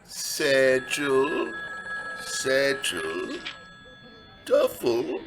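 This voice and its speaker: An actor playing a slow, doddering, polite old baggage clerk in a noir radio comedy, halting and creaky. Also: male voice, wildly swooping pitch, noisy background, full character voice. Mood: dithering, polite. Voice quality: creaky, high-pitched.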